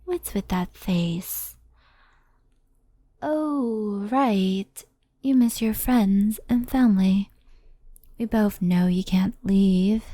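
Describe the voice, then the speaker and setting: Gentle kitsune woman played by a female performer for ASMR roleplay: devoted, anxious, mellow, unhurried and whispery. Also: mid-range voice, no echo. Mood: tender, reassuring. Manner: soft, slow, soothing, often whispery.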